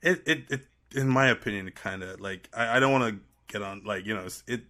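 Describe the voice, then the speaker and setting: Man, Trinidadian accent with an American tinge, fairly low voice, bright and forceful tone, rambling animated storyteller. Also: brisk. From Trinidad and Tobago. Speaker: podcast guest. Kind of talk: casual chat.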